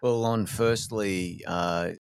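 A man hosting a podcast interview, speaking in a measured, steady way with a few fillers. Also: lowish voice, gravelly, clean tone, dry recording, clean studio sound. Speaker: podcast host.

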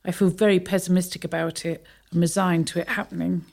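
Reflective townswoman actress in a radio play, lowish voice, bit resigned. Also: little pitch movement, fast talker, gravelly, darkish tone. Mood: wistful, resigned. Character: reflective townswoman, resigned and pessimistic about change. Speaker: community theatre actor.

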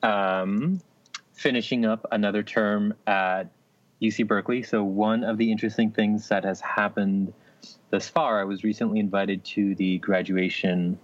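A man's voice: fairly low pitch, fairly even intonation, moderate pace, dry recording. A young man co-hosting a podcast, telling casual anecdotes with hesitant, understated delivery and dry humour. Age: young adult. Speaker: podcast co-host. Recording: slightly dull, lo-fi audio.

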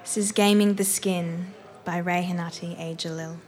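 A young woman giving an expressive live reading of a first-person story, acting out bits of dialogue. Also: fairly low pitch, moderate pace. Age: young adult. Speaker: performing arts graduate reading a story aloud.

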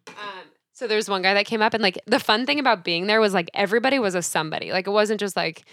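A young woman co-hosting a podcast, telling animated stories in casual chat and acting out the people she quotes. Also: medium pitch, fast talker, no echo, clean studio sound. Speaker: podcast co-host.

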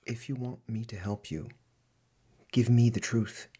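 A man acting in a radio play as a stern, blunt foreign affairs official with a husky, demanding delivery. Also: mid-range voice, a little slow, mellow. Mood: stern, pressing. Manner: harsh, demanding, interrogating.

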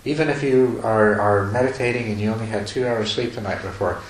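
A man giving a meditation talk to a retreat group, talking loosely with many restarts and soft chuckles. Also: fairly low pitch, a little fast. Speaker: meditation teacher. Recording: echoey room, faint noise.

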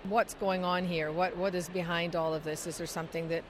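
A woman's voice: fairly low pitch, little pitch movement, fairly quick, noisy background. A middle-aged woman from Scotland, giving explanatory interview answers with frequent fillers. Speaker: interviewee, chorus singer.

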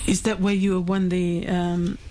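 Low-voiced woman radio interviewer. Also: little pitch movement, warm tone, a little hiss or hum. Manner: halting questions with fillers.

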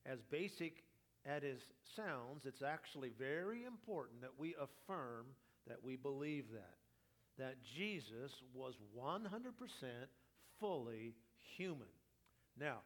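A male pastor preaching a teaching sermon, his voice slightly raspy today, leading the congregation through scripture. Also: on the high side. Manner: teaching, leads congregation in reading.

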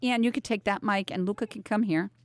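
A middle-aged American woman hosting a talk radio show in a chatty, animated way with emphatic asides and rhetorical questions. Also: mid-range voice, fairly quick, no echo, studio quality. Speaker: radio host.

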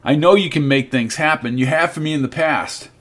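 A man's voice: a little high, brisk, fairly bright tone. A man doing a sardonic, restless man from out of town. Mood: urgent. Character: middle-aged man from California, weary, restless.

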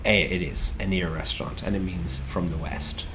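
A man's voice: low-pitched, normal speed, sharp tone, a little hiss or hum. A young Irish man who runs restaurants, chatting casually in a radio interview with lots of restarts. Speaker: restaurant owner and chef.

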